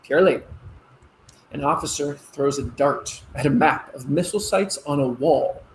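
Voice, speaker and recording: A man's voice: a little high, normal speed, a little hiss or hum, natural voice, rough recording. A man playing an eager, placating adult son who is a military officer, in naturalistic play dialogue. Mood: eager, proud.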